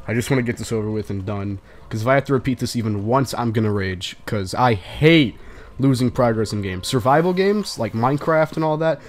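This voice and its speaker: A young man commentating his own horror game run, stuffy from a flu, swearing often and breaking into angry shouts. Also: mid-range voice, a little hiss or hum. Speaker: gaming channel host. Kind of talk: casual gaming commentary.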